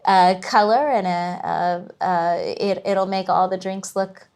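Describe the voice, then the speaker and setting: Woman, podcast guest, casual chat, hesitant, lots of fillers, mid pitch. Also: steady tone, slow, a little mellow.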